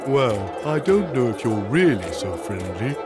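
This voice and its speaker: A man's voice: medium pitch, big pitch swings, measured pace, loud music behind the voice. A man voicing a big, naive, simple-hearted strongman in a cartoon game, with a childlike, guileless manner. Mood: naive, cheerful. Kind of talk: animated cartoon game voice acting.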